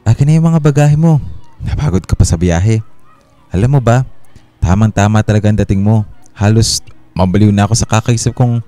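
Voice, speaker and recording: Radio drama husband male actor: deep, very soft-edged, patient and gentle, with guitar music underneath. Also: fairly quick, pauses often, dry recording, natural voice. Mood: grateful, welcoming. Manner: gentle, reassuring. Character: patient, reassuring husband and father at home.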